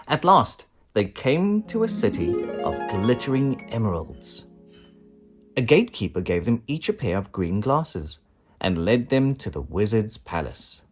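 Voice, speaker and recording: A man narrating a children's storybook in an expressive, dramatic way. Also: lowish voice, measured pace, breathy edge, faint music behind. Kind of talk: children's storybook read-aloud.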